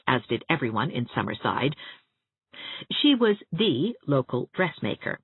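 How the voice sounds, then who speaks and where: A woman's voice: low voice, slightly rough, dry recording, narrow, tinny audio. A woman narrating an audiobook novel in a scripted, literary storytelling style.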